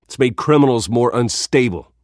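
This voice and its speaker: An actor playing a suave, guarded rich heir in a video game, speaking in a measured, wry way. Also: male voice, mid-range voice, expressive, darkish tone, dry recording, clean studio sound, natural voice. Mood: earnest.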